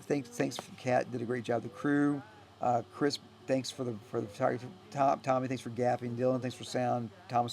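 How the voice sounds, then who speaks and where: A man giving a casual, unscripted director's commentary as a filmmaker, rambling and halting as he goes, often trailing off. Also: mid-range voice, vocal fry, a little mellow. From United States.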